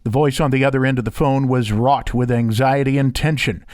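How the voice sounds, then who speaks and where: A man's voice: medium pitch, steady tone, a little fast, a little mellow, dry recording. A man doing scripted voiceover narration and demo reading, recorded close on a studio condenser microphone. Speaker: voiceover artist and interviewer.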